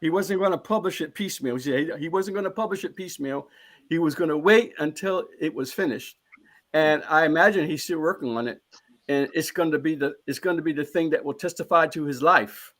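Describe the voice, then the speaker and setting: Earnest, higher-voiced man on a panel. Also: brisk, a bit bright. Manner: earnest and emphatic, repeats phrases while building a point. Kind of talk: live panel discussion.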